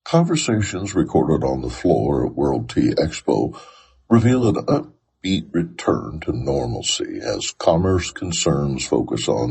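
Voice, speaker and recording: A man hosting a tea podcast, reading scripted narration with an animated, eager manner. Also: extremely low pitch, vocal fry, breathy edge. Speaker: podcast host. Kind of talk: scripted narration and floor interviews.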